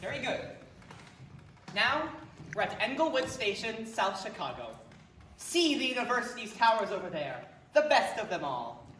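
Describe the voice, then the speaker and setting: A woman's voice: on the high side, normal speed, pauses often, pitched below the performer's own voice. A woman voicing a tour guide pointing out sights along a train trip. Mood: announcing. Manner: announcing, lively. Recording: echoey, distant, noisy old recording.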